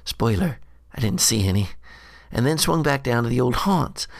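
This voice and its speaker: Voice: mid-range voice, lively intonation, moderate pace, creaky, dry recording, clean studio sound. A performer voicing a scrappy, slangy adventurer who mutters and curses through a jittery diary monologue. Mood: cheeky, chatty. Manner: slangy, cursing, self-interrupting monologue. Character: scrappy slangy jittery adventurer Skeen recounting a bad day. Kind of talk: in-character audio diary monologue.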